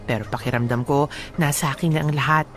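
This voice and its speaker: A woman's voice: deep voice, steady tone, quick pace, faint music behind, lightly acted. A woman as Ellen, earnest young storytelling wife. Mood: reflective, earnest. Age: 20s. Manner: earnest, reflective. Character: Ellen, heartfelt 29-year-old wife telling her life story. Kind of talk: dramatic first-person radio narration.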